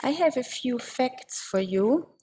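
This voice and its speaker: A woman with an Austrian German accent giving a slide presentation in a webinar, hesitant and careful, with many pauses and fillers. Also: high-pitched, lively intonation, mellow, a little hiss or hum.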